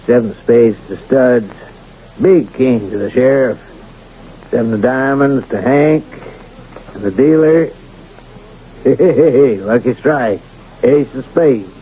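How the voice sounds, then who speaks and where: A man's voice: medium pitch, slow, pauses often, dark tone, natural voice. Man acting a brash, crooked Western card dealer. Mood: brash, confident. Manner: brash, taunting, menacing. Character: domineering crooked card dealer who runs a Western town. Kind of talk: 1970s radio drama acting. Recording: dull, noisy 1970s radio recording.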